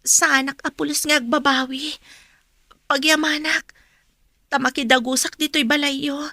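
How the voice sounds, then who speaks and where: A woman's voice: high-pitched, level tone, normal speed, sharp tone, no echo. An actress playing a troubled, humble young woman in a radio drama, plaintive and earnest, with an Ilocano accent. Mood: polite, grateful.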